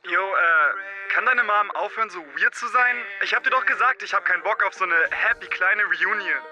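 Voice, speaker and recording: A man's voice: high-pitched, normal speed, pushed and bright, lo-fi sound, a little hiss or hum. A young man playing an irritated, dismissive teenage boy leaving a brusque, slangy voice message in a German audio drama.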